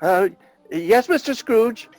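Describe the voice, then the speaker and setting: A man playing a meek, kindly clerk in a radio play, hesitant and deferential, with a creaky voice. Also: extremely high pitch, lively intonation, takes it slowly, soft background music, full character voice. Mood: meek, nervous. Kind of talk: theatrical radio play acting.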